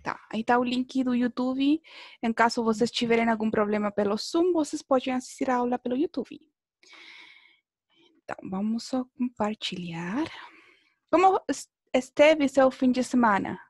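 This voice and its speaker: A woman with a high-pitched voice leads an online language lesson. She encourages her pupils and keeps checking that they follow with little tag questions. The sound is dry, with very little room in it. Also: moderate pace, no room sound. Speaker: language teacher. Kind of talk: teaching an online beginner Portuguese class. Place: indoor room, online video class.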